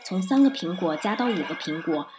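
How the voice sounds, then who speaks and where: A woman's voice: lowish voice, expressive, a little fast, loud music behind the voice. A woman narrating a scripted first-person review as a podcast reviewer.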